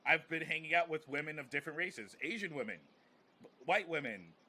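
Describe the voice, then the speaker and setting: A man's voice: on the high side, animated, a little fast, pushed and bright, breathy edge. An American man talking casually and with strong opinions on a podcast, emphatic and repeating phrases as he builds a point. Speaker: podcast host, comedian.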